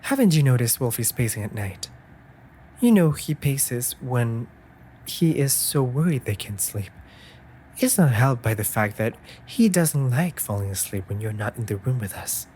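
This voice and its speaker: A man playing a caring, anxious raven familiar in a comforting audio roleplay, articulate and gently scolding in formal phrases. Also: mid-range voice, sing-song, normal speed, slight background noise, lightly acted. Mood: concerned, chiding.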